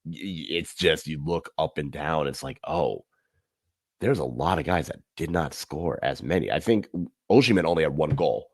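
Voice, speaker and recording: A man co-hosting a casual sports podcast, running through player stats with animated asides. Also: extremely low pitch, normal speed. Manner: animated, listing stats, swings up when amused. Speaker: podcast co-host.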